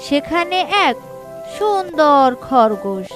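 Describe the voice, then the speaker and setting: A woman's voice: high voice, very animated, takes it slowly. A woman narrating a scripted children's story as a storyteller. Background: background music.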